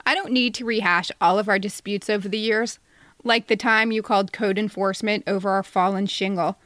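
A woman's voice: mid-range voice, a bit bright, no echo, studio quality. A woman in her fifties reading a personal satirical letter aloud in a wry, mock-polite way, as a podcast co-host. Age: 50s.

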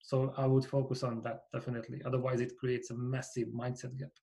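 A man's voice: medium pitch, little pitch movement, brisk, mellow. A man from Hungary with a Hungarian accent, a company founder and coach speaking earnestly as a podcast guest, letting his phrases fade at the ends. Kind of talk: podcast interview.

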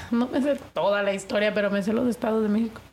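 A young American woman hosting a casual vlog chat in a middle-pitched voice. She rambles, hops between English and Spanish mid-sentence and leans on fillers. A faint background hiss runs under her. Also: steady tone, fast, darkish tone. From United States. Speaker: vlog host.

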